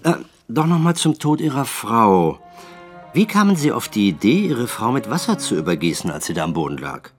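An actor playing a senior police detective in a radio play, questioning with dry, formal politeness. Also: male voice, medium pitch, very animated, normal speed, soft background music, close to an everyday voice. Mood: pressing, dry. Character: senior police detective, probing, dryly sarcastic interrogator.

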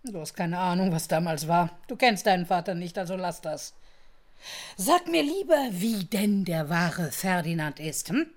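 Radio play role: mocking mother of adult children, sardonic, very lively intonation, needling. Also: lowish voice, moderate pace. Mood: defiant, needling. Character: mocking, bitter mother of grown twins, middle-aged or older. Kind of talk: German radio drama acting.

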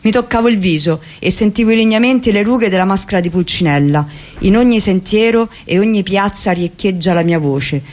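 A woman's voice: mid-range voice, brisk, darkish tone. A woman giving an impassioned, declamatory reading of a book for the radio. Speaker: reader. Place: radio studio.

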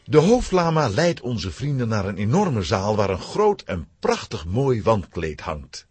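A male narrator tells a children's story with theatrical expression. His mid-pitched voice is very husky and moves up and down freely, with soft music underneath. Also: very animated, unhurried.

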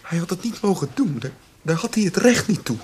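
An actor playing a troubled, guilt-laden son in a radio drama, speaking tersely and haltingly. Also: male voice, high voice, very animated, darkish tone, husky, exaggerated voice. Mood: bitter, halting.

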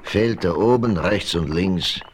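An actor playing an old Osage chief in a 1970s radio play, deliberate and grave, speaking of himself by name. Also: male voice, low-pitched, a little hiss or hum. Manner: slow, deliberate, speaks of himself in third person.

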